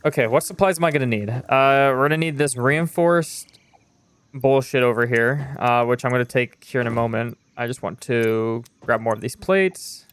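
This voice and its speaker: Young guy streaming, smooth clean voice, rambly and frank, lots of "like", swears casually. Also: medium pitch, moderate pace, fairly bright tone. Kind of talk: casual live-stream chat while gaming.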